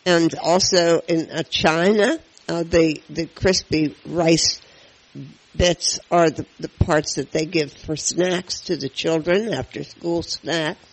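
A man hosting a radio interview, asking questions in a conversational, halting way. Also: a little high, steady tone, rough recording. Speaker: radio host.

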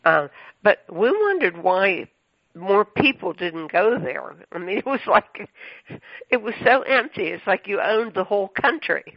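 Gravelly-voiced effusive male radio host. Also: sits high, sing-song, unhurried, dry recording. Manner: rambling, effusive, many fillers and restarts. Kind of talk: chatty radio interview. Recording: dull lo-fi radio sound, dry.

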